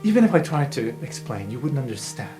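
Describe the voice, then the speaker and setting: A man acts out a young male dark elf student in a tabletop roleplay. He is sullen and guarded, with a sarcastic, defensive edge, and music plays clearly behind him. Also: mid-range voice, sing-song, fast, close to an everyday voice. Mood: wary, accusing. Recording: music under the voice.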